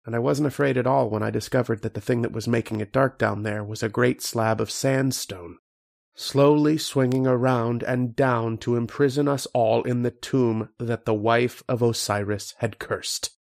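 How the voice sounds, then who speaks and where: An actor playing a haunted, fearful scientist who narrates his ordeal in a dramatic, halting horror radio monologue. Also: mid-range voice, steady tone, creaky, lightly acted. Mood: hushed dread. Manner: dramatic, halting, repeating phrases.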